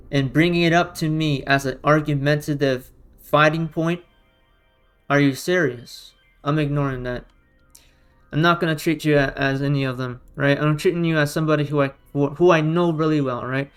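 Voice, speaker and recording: Clear-voiced man arguing in exasperated commentary. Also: a little high. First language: Romanian or Russian. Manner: exasperated, combative, emphatic with repeated rhetorical questions. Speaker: online video commentator. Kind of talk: argumentative response commentary.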